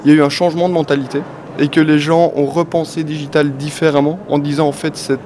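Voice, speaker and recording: A man with a Belgian French accent, answering an interviewer as a company guest. He talks with enthusiasm and a sales pitch, with many "euh" fillers. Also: little pitch movement, fast talker, a little mellow. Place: event venue, among people. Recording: clear background noise.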